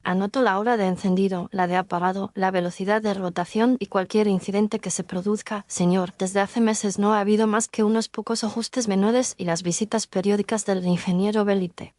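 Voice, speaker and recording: A woman's voice: medium pitch, steady tone, a little fast, hardly any pauses, darkish tone, no room sound, close to an everyday voice. A woman playing a modest, alert young daughter of a lighthouse keeper in an audio story, respectful and precise. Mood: respectful, composed. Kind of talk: audio story character dialogue.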